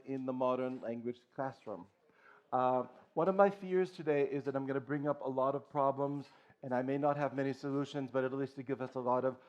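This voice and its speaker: A man's voice: medium pitch, darkish tone. A middle-aged man from Boston giving an earnest conference talk as a language teacher, lecturing with frequent restarts and "okay"s. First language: English. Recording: dull lo-fi recording.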